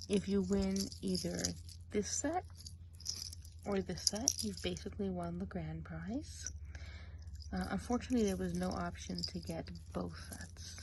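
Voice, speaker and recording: A woman playing a gossipy witch of the woods in an ASMR role play, chatting along in a soft, rambling whisper. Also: on the low side, a little slow, background noise. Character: gossipy female forest witch.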